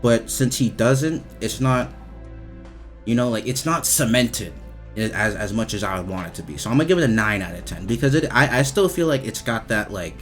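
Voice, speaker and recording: A man's voice: mid-range voice, expressive, normal speed, fairly bright tone, soft background music. A young man giving casual, rambling game commentary, hesitant and repetitive, with informal swearing. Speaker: video game reviewer.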